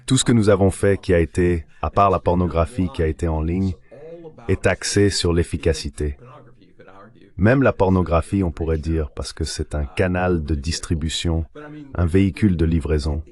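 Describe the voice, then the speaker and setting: A man's voice: sits low, moderate pace, darkish tone, lightly acted. A man delivering an explanatory voice-over translation for an interview guest. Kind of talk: dubbed interview commentary, explanatory.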